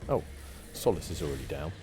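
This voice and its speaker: A man giving relaxed, playful ASMR gameplay commentary, rambling a little hesitantly. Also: extremely low pitch, a little fast. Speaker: gaming video host. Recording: game noise behind the commentary. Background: fantasy video game sounds.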